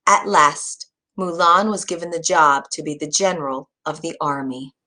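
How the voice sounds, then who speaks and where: A woman's voice: low voice, steady tone, normal speed, bright, forceful tone. Woman narrating a children's story.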